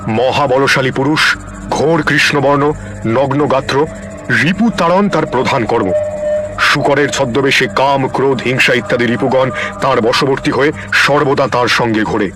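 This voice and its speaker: A man's voice: medium pitch, normal speed, soft background music, close to an everyday voice. A man playing an earnest wandering holy man. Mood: solemn teaching. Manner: gentle, earnest, philosophical teaching. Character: wandering holy man, kindly, wise, plain-spoken ascetic. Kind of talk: audio story drama acting.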